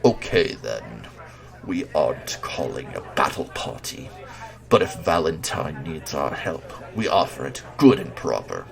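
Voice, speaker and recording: Man acting a very deep, gravelly gruff enforcer. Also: very animated, measured pace, heavily acted. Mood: firm. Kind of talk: audio drama acting.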